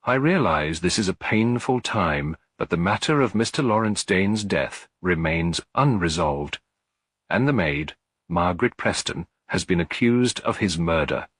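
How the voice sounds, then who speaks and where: A man voicing a calm, shrewd elderly lady detective in an audiobook, with a measured, gentle delivery. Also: sits low, breathy edge, no room sound, full character voice. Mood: composed, firm. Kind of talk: audiobook character dialogue.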